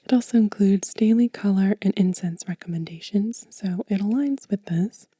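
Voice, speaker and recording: A soft-spoken woman doing an ASMR show and tell, whispering gently and without hurry close to the microphone. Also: medium pitch, dry recording. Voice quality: breathy, whispery, hushed. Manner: gentle, unhurried. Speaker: ASMR creator and host.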